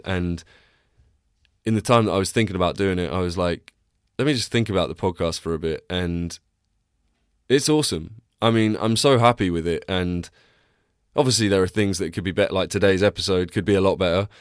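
A man's voice: low voice, normal speed, smooth, no room sound, studio quality. A young man hosting a podcast, chatting casually and rambling with many restarts, tired and congested. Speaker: podcast host. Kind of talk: casual solo podcast chat. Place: small cramped home recording space.

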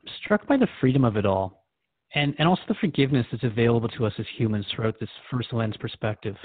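A man's voice: mid-range voice, expressive, fast talker, no room sound, narrow, tinny audio, lightly acted. Man as a thoughtful radio interviewer, dry close sound. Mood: curious, thoughtful. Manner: reflective, questioning. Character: Jeff, thoughtful, inquiring male radio host. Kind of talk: conversational radio drama interview.

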